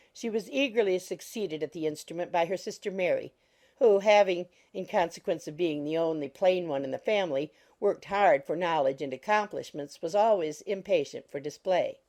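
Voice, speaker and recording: A woman reading scripted literary narration for an audiobook in a measured, formal manner. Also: lowish voice, warm tone.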